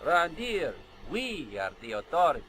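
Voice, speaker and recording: An actor playing a smooth, quietly threatening thug boss in a video game, speaking with falsely polite manners. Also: male voice, a little high, sing-song, moderate pace, slight background noise. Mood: smooth menace. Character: smooth, menacing thug boss posing as official. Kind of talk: video game character acting.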